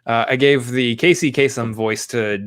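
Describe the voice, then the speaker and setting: This is a man hosting a casual live stream, voice sitting at an ordinary middle pitch. He rambles from joke to plug with upbeat, offhand energy. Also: moderate pace, a bit bright, clean tone. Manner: jokey, rambling, upbeat plugging. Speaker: podcast host.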